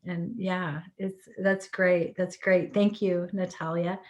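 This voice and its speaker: A woman's voice: lowish voice, steady tone, takes it slowly. A woman hosting an interview on a video call, encouraging and full of praise, with a few fillers. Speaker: interviewer for a professional association. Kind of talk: welcoming interview host.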